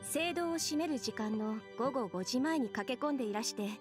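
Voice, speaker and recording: A woman playing a polite, gentle young nun in a Japanese visual novel. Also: sits high, animated, racing, faint music behind. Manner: polite, gentle, softly formal.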